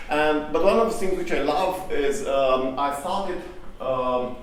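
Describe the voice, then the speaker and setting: A man's voice: mid-range voice, recorded from a distance, slight background noise. Echoey hall talk, man. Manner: hesitant, many ums and restarts. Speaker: conference speaker. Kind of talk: live conference talk. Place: conference hall.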